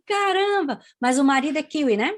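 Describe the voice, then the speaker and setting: A woman from Brazil hosting a podcast, asking questions in a lively, exclamatory way. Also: high-pitched, fairly quick. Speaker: podcast host. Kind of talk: casual interview chat.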